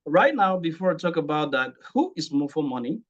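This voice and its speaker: A young adult man with a Cameroonian accent, presenting informally to an online group in a deliberate, halting way with repeated words. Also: a little high, big pitch swings, a bit bright, highs cut off. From Cameroon, English-speaking region. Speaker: speaker sharing testimonial at online training.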